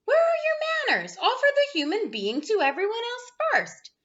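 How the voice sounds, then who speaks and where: A woman's voice: very high voice, huge pitch swings, moderate pace, fairly bright tone, dull audio, pitched above the performer's own voice, exaggerated voice. A woman voicing a female space monster from a picture book, a scolding mother who insists on manners. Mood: shocked, scolding. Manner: gasping, insistent, emphatic.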